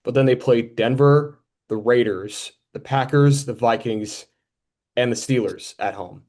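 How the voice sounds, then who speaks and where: A man's voice: medium pitch, measured pace, a little mellow. A young man hosting a podcast, talking sports in a casual, conversational way.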